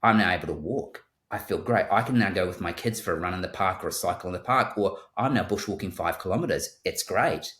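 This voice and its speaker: A man's voice: low voice, a little fast, hardly any pauses, fairly bright tone. A man with a New Zealand accent, a guest surgeon speaking in a thoughtful conversational interview and often repeating words as he starts a sentence. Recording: roomy echo.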